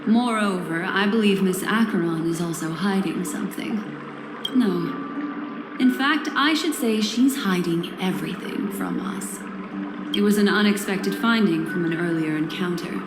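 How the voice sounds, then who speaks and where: A woman voicing a composed, enigmatic female memory-keeper in a video game, speaking in formal, deliberate, protective phrases. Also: fairly low pitch, music in the background, close to an everyday voice. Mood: measured, cautioning. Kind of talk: scripted video game character dialogue. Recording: roomy echo, loud background ambience.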